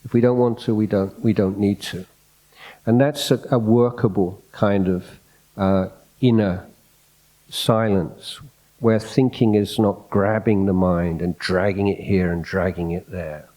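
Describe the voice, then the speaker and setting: Soft-spoken monk with a low, mellow voice. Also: male voice, fairly even intonation, measured pace, rough recording. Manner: reflective, hesitant, with many fillers and restarts. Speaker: Buddhist monk teacher. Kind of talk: unscripted dhamma talk. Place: hall. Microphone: microphone in a hall.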